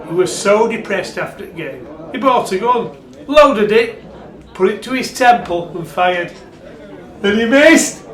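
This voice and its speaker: An actor playing a wry, bleakly obsessed rugby supporter in a radio play. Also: male voice, high-pitched, expressive, unhurried, fairly bright tone. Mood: darkly amused. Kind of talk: dramatic radio play retelling. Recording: roomy, echoing sound.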